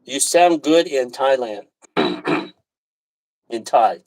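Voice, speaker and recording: A man from Taiwan hosting a casual live chat, talking in a halting, rambling way with frequent throat clearing. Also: mid-range voice, very animated, low-quality recording. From Taiwan, left as a little boy. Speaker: live stream host.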